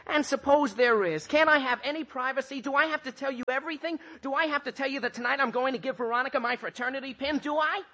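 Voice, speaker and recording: A man's voice: on the high side, big pitch swings, normal speed, sharp tone, breathy edge, full character voice. An actor playing an eager, lovestruck and melodramatic teenage boy in an old-time radio comedy. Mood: indignant. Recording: dull vintage radio recording.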